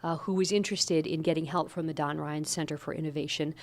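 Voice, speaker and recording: A woman interviewing on radio, asking measured, conversational questions on behalf of listeners. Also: low-pitched, steady tone.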